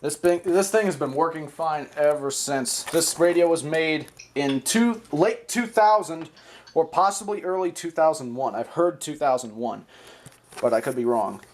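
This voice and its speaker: American male hobby vlogger, higher-pitched voice, chatty and playful, casual show-and-tell delivery. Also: moderate pace, slight background noise. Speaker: hobbyist video host.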